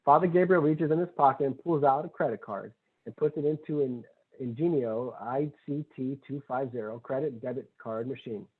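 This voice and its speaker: A man's voice: mid-range voice, moderate pace, slightly rough. A man reading screenplay stage directions as scripted narration at a table read. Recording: tinny phone-like audio.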